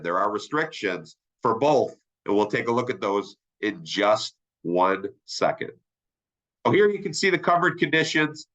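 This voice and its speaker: A middle-aged man with a stuffy, nasal voice from a sinus infection leads a training webinar. His tone is bright and forward, and he talks in a chatty, upbeat way. He keeps asking his listeners "right?" as he goes. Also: mid-range voice, rough recording. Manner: upbeat, conversational, asks "right?" often. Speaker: insurance sales trainer. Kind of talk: webinar presentation.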